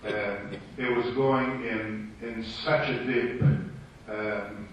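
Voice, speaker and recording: Slow Romanian-accented man, hesitant. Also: lowish voice, fairly even intonation, muffled recording, a little hiss or hum. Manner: hesitant, searching for English words. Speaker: participant. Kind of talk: group Bible study discussion. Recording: echoey room, far from the mic.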